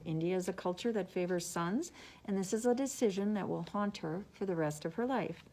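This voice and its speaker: A woman librarian presenting book picks, reading prepared summaries aloud in a measured, deliberate way. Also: mid-range voice, mellow, rough recording.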